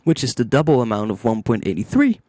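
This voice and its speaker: A man narrating a music software tutorial step by step, informally thinking aloud into a close microphone. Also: mid-range voice, very animated, fairly quick, dry recording.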